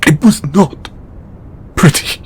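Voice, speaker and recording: An actor playing a distraught, overburdened god in an emotional audio roleplay, a man speaking tearfully and haltingly with exasperated swearing. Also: on the high side, a little hiss or hum. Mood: anguished, defensive. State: crying. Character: distraught, overburdened male god venting to his devotee.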